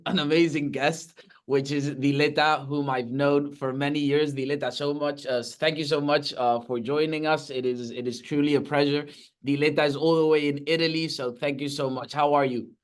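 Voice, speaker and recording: A man's voice: on the high side, fairly even intonation, moderate pace. A man from Spain with a Spanish accent, hosting an interview casually with lots of fillers and restarts. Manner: enthusiastic, many fillers and restarts. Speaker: podcast host, real estate agent. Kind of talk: casual video-call interview.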